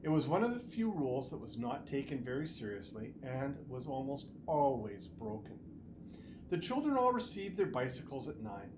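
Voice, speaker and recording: Animated man reading a story aloud. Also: medium pitch. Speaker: school superintendent reading aloud. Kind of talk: expressive audiobook-style story reading. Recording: noticeable background noise and ambience.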